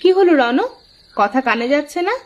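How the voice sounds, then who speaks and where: A woman's voice: high voice, animated, moderate pace, muffled recording. A woman playing a caring but strict young mother in a children's cartoon, fussing and admonishing. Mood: scolding.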